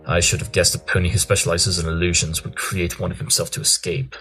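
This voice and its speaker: A haughty, brilliant detective stallion, acted by a man, with a low voice full of gravel. He speaks in a clipped, analytical way, as in a radio play with soft music behind. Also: level tone, fast talker. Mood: brisk, deductive. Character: brilliant, aloof, socially tactless male pony detective. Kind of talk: audio drama acting.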